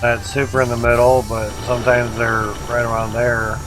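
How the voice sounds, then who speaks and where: A man's voice: mid-range voice, little pitch movement, normal speed, music in the background, rough recording. A man livestreaming a video game with casual, rambling commentary in short clipped phrases. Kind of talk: casual gameplay commentary, chatting with viewers.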